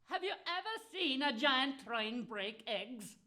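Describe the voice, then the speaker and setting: Woman playing a high, bright, spiteful fairy tale witch. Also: very animated, measured pace, full character voice. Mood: gleeful, mischievous. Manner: theatrical, cackling, sweary. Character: spiteful, gleeful, foul-mouthed fairy tale witch telling her tales. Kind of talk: comic storytelling monologue.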